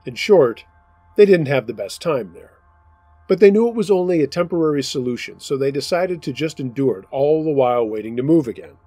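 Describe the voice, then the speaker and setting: A man's voice: fairly high pitch, no echo, soft background music. Man narrating true scary stories. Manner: steady, measured first-person narration. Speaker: storyteller host. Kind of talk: reading submitted true scary stories aloud.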